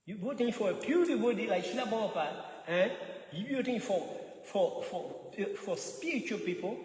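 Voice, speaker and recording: A man's voice: high voice, lively intonation, moderate pace, darkish tone. Man, French accent, joking lecturer, laughs a lot, echoey hall, distant mic. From France. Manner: joking, laughs often between points; emphatic, repeats words while searching for them. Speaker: speaker giving a scripture class. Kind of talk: temple scripture lecture. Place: temple hall. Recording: echoey hall, mic from far off, faint noise.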